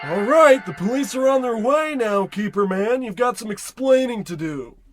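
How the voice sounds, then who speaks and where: Man playing high-voiced, blustering radio-comedy salesman. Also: lively intonation, normal speed, faint music behind. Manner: blustering, bossy, muddled tough talk. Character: brash, demanding, dim-witted male travelling salesman. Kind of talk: parody of old radio comedy-drama acting.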